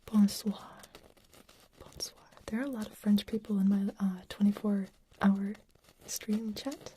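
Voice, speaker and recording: A young woman whispering softly as she hosts an ASMR livestream, chatting with viewers while making trigger sounds. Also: medium pitch, steady tone, normal speed, a little hiss or hum.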